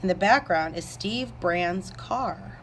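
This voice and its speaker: A woman's voice: lowish voice, lively intonation, slow pace, a bit bright, slight background noise, rough recording. A woman narrator reading scripted stage directions aloud.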